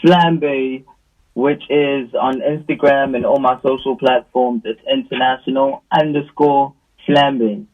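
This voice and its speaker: A South African man, a musician guest, chatting casually in slangy, halting phrases. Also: medium pitch, steady tone. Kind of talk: casual chat. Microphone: video call. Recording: dull lo-fi video call.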